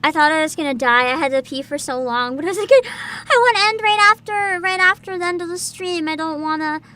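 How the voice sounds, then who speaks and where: Very high, bright, excitable woman streamer. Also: fairly quick. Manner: giggly, excitable, expressive. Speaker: livestream host, VTuber. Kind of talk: casual livestream chat.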